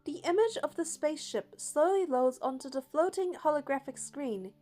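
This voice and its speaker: High-voiced woman reading a game. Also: lively intonation, moderate pace, dark tone, soft background music. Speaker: narrator of a game playthrough. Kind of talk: reading game text aloud.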